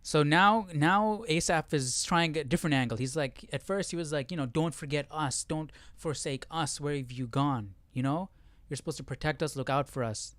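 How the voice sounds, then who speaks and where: A man's voice: on the high side, normal speed, a bit bright, no room sound. A man hosting a livestream, talking informally through a study session and often checking in with "right?". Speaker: livestream host. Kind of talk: casual Bible study livestream commentary.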